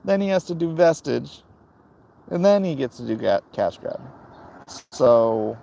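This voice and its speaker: A man with a gravelly voice hosting a casual, opinionated livestream, answering chat in a blunt, dismissive way and muttering between thoughts. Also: mid-range voice, expressive, unhurried, mellow, lo-fi sound, a little hiss or hum. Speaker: livestream host reading and answering chat comments.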